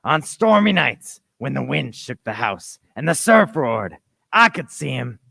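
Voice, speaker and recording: A man's voice: medium pitch, very animated, unhurried, creaky, bright, forceful tone, heavily acted. A man playing an earnest grown-up storyteller who recalls his boyhood in scripted, dramatic radio play narration. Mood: haunted.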